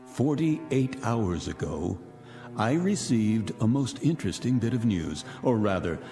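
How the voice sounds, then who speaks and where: An actor playing an arrogant, vengeful millionaire in a radio drama, speaking in a theatrical, pompous, taunting and articulate way. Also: male voice, lowish voice, big pitch swings, unhurried, warm tone. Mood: smug, deliberate. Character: vengeful, arrogant millionaire man holding old enemies captive. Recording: reverberant radio drama with music underneath.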